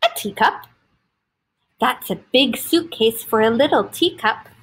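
A woman's voice: fairly high pitch, expressive, measured pace, fairly bright tone, slightly rough, heavily acted. A woman voicing nosy, doubting animals in a children's storybook, blunt and questioning. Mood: curious.

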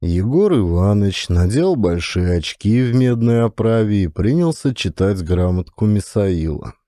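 A man narrates a literary tale as a dramatic audiobook. His voice is low and extremely mellow and dark, recorded very dry. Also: expressive, a little slow, dry recording.

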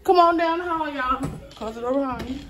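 A woman's voice: high-pitched, a little fast, recorded from a distance. A young woman giving a casual house tour to viewers, chatty and often repeating her phrases, recorded on a handheld phone while walking indoors. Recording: roomy, noisy, voice off from mic.

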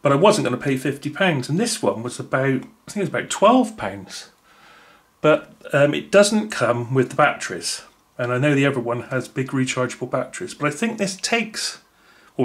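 A man's voice: mid-range voice, animated, normal speed. A man, thinking aloud with many fillers as he unboxes a gadget at a table indoors. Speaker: unboxing video host. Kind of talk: casual unboxing commentary.

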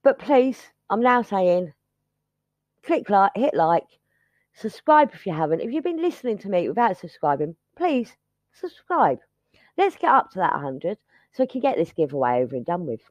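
A woman's voice: mid-range voice, sing-song, normal speed, darkish tone, no room sound, dull audio. Chatty, self-mocking woman vlogger. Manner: chatty, self-mocking, scattered, starts with sung lines. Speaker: YouTube channel host. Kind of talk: rambling casual chat to subscribers.

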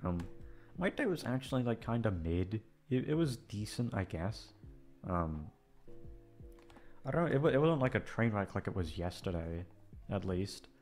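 A man's voice: on the low side, big pitch swings, moderate pace, a little mellow, faint music behind. A man rambling in casual chat to his listeners, hesitant, repetitive, sweary and self-deprecating. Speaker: audio creator addressing listeners.

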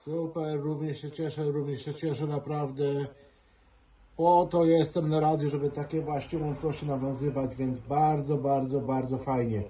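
A man's voice: a little high, flat delivery, moderate pace, noisy background. A man working as an amateur radio operator, chatting in a friendly, easygoing way during a radio contact. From Poland. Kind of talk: casual ham radio contact.

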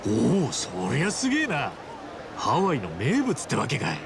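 An actor playing a friendly, rough-spoken, big-hearted man in a video game cutscene, with casual, chummy street slang. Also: on the high side, huge pitch swings, normal speed, background noise, full character voice. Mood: easygoing, upbeat.

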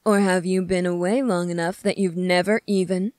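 A woman playing a smug, scornful male demon prince in an ASMR roleplay, speaking in a condescending, deliberate way. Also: on the low side, fairly even intonation, a little mellow, dry recording, clean studio sound, close to an everyday voice.

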